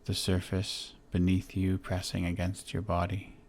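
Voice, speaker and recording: A man guides a relaxation exercise in a deep, soft-edged, mellow voice. He speaks very slowly and gently, in short phrases. Long, soothing pauses separate each instruction. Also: completely flat delivery, a little hiss or hum. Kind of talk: guided meditation, body scan.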